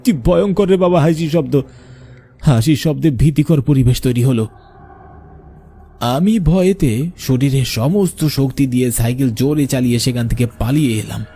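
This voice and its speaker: A man's voice: mid-range voice, moderate pace, mellow, husky. A man narrating a scripted cartoon horror story in the first person with suspenseful, dramatic delivery.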